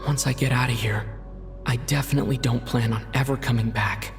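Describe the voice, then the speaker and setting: A woman voicing a young girl lost in the dark, wary and unsure, with soft, hushed questions. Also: a bass voice, steady tone, fairly quick, creaky. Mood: wistful. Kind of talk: game voice acting. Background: loud game music.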